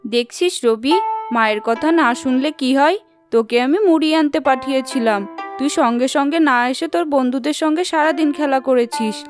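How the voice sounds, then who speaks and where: A woman's voice: high-pitched, a little fast, lightly acted. A woman voicing a caring, humble poor village mother in a cartoon moral story. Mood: scolding. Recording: music behind the voice.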